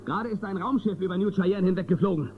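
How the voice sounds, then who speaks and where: An actor playing a resolute, authoritative marshal hero in a space-western audio drama. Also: male voice, high-pitched, fast talker, close to an everyday voice. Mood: serious, urgent. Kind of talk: German audio drama acting. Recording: tinny, phone-like sound with noise.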